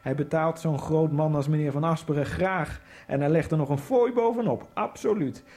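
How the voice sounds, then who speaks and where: An actor playing a nervous, wryly ironic Egyptian immigrant, narrating his thoughts in a hushed first-person monologue. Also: a little high, normal speed, soft background music, close to an everyday voice. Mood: brooding. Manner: hushed, brooding inner monologue.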